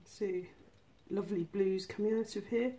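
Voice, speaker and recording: A woman's voice: medium pitch, steady tone, moderate pace, dark tone. A woman hosting a casual home cooking tutorial, explaining each step in an informal, chatty way. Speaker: host of a miniature cooking channel.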